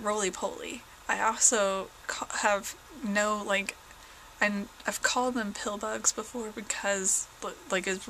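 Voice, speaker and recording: A woman's voice: mid-range voice, a bit bright, slight background noise, low-quality recording. A young woman from southern Indiana with a southern Indiana accent, slightly congested, chatting casually and rambling as a vlogger at home. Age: young adult. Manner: rambling, many fillers and self-corrections. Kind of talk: casual chat.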